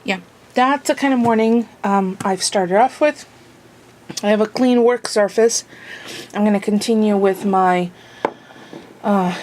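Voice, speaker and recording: A woman's voice: medium pitch, a little mellow, slight background noise. A woman vlogging at home in a casual, rambling way, a little sniffly and full of fillers. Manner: rambling, chatty, many fillers. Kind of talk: casual chat. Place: home, indoors.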